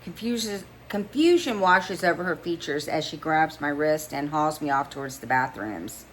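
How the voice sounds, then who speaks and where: A woman's voice: low-pitched, lively intonation, moderate pace, a little hiss or hum, low-quality recording. A woman reading romance fiction aloud as a first-person narrator, stumbling now and then and re-reading phrases. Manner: stumbles over words and re-reads phrases. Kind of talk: amateur read-along of romance fiction, first-person storytelling.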